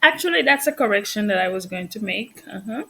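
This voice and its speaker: A woman's voice: medium pitch, lively intonation, a little fast, vocal fry, sharp tone. A woman whose first language is Spanish, tutoring English in casual conversation and patiently correcting mistakes. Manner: friendly, explains patiently, laughs often.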